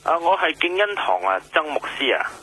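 An actor playing a chatty, helpful church pastor in a radio drama, speaking haltingly with a Hong Kong Cantonese accent. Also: male voice, a little high, very animated, pushed and bright, husky, full character voice, rough recording. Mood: chatty, explaining. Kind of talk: radio drama acting, conversational.

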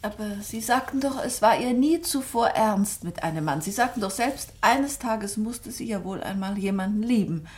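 A woman's voice: mid-range voice, expressive, normal speed, few pauses, lightly acted. An actress playing a composed, direct woman novelist in a German radio drama, articulate and dryly matter-of-fact. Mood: reasoning, defending.

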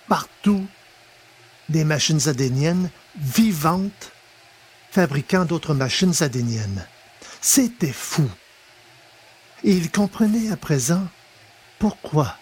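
A man narrating a scripted story in a measured, dramatic manner, with pauses for effect. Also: a little high, slightly rough. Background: music.

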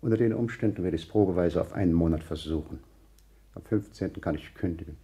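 A man acts a fussy man of science in a 1960s radio play. His voice is fairly low and muted in tone. He speaks primly and precisely, like someone laying down conditions. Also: fast, mellow, lightly acted. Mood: prim, demanding. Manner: clipped, pedantic, demanding. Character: fussy, prim, suspicious scientific gentleman seeking a room.

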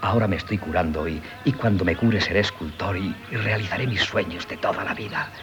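Man playing dreamy young artist, low voice, Castilian accent, polite, hesitant, radio theatre with music. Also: a little fast, close to an everyday voice. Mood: earnest, chatty. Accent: Castilian Spanish. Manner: polite, hesitant, deferential storyteller. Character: earnest, dreamy, sickly young man, would-be sculptor. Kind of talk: 1970s Spanish radio theatre acting. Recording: 1970s radio drama with music.

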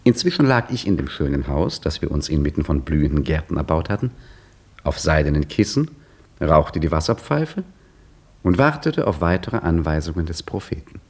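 A man's voice: extremely low pitch, big pitch swings, moderate pace, slightly rough, heavily acted. An actor playing Hakim, a brooding, idle husband who narrates his story with wry reflection in a 1950s radio play. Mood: reflective. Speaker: narrator and lead character. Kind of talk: 1950s radio drama acting.

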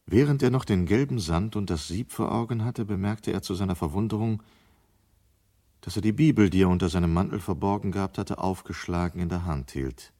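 Low-voiced male radio drama narrator. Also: a little fast, warm tone.

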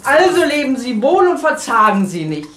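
A woman's voice: high-pitched, big pitch swings, moderate pace, a bit bright, faint music behind. An actress playing a relentlessly cheerful, preachy and patronising society charity lady in a German radio comedy. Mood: briskly cheerful. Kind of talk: German radio comedy crime drama acting.

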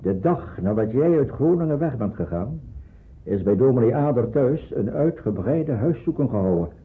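A man's voice: medium pitch, animated, moderate pace, lightly acted. Acteur als nuchtere verzetsman, zeer beweeglijke intonatie. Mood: grave. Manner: matter-of-fact, instructing. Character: practical, cautious male resistance helper guiding refugees. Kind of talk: Dutch radio play acting. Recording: muffled narrowband old radio play.

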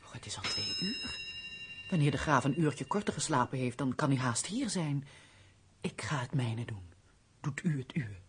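An actress playing a gentle, melancholy castle housekeeper in a Dutch radio play, speaking in an earnest, refined, pleading manner. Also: female voice, rumbling low, lively intonation, normal speed, over music. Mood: brisk, practical.

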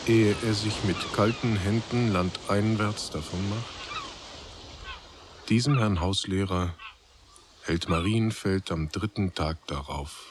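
Male drama narrator: low-set voice, drawn-out deliberate pacing, literary scripted reading. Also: background noise.

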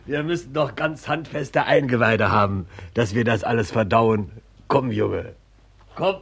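A man's voice: mid-range voice, a little slow, gravelly, fairly bright tone, husky, full character voice. An actor playing a cynical, sardonic male drinking companion in 1940s German radio drama style. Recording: vintage 1940s radio recording.

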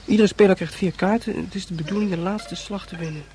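A man plays an earnest youngster in a radio play. The voice is high and instructive, laying things out matter-of-factly over clear background noise. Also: fairly even intonation, fast talker, warm tone, close to an everyday voice.

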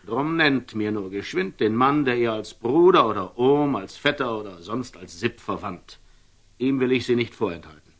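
An actor playing a grave, pious, devoted father in a classical radio drama, declaiming measured verse. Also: medium pitch, animated. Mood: resolute, earnest.

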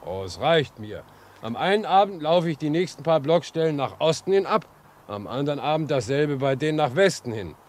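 An actor in a German radio drama playing a folksy, helpful railway line inspector who likes to chat and caution. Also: male voice, a little high, sing-song, brisk. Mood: folksy, obliging. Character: chatty, obliging railway line inspector guiding a stranger. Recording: noisy old radio play recording.

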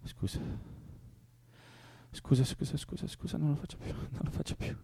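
A man's voice: fairly high pitch, moderate pace, background noise, natural voice. An actor playing an anguished young leftist man in a naturalistic radio drama, pleading and playful, repeating himself and drifting into whispers. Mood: pleading, fragile. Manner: volatile, repetitive, drifting into whispers.

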